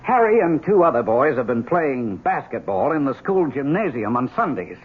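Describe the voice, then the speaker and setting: A man's voice: medium pitch, sing-song, moderate pace, slightly rough. A man acting an apologetic but firm gym teacher in a radio drama, courteous, hesitant and placating. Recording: tinny old radio broadcast recording.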